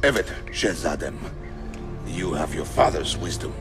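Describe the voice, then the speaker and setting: A man's voice: very deep voice, unhurried, music in the background. An actor playing a proud, ambitious Ottoman military captain in a video game, formal, hard and blunt. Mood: stiffly deferential. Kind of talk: video game cutscene acting.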